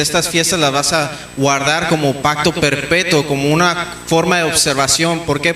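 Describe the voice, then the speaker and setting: A man from Mexico, preaching a teaching sermon in a church, often pausing with fillers and restarts. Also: on the high side, sharp tone. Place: church hall. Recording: echoey church room with faint noise.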